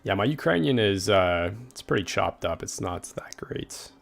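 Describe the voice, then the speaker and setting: A man's voice: deep voice, moderate pace, creaky. A young man chatting casually on a game livestream, rambling and reading viewer messages aloud. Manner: relaxed, rambling, reads chat aloud. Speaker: gaming livestreamer. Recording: faint game music behind.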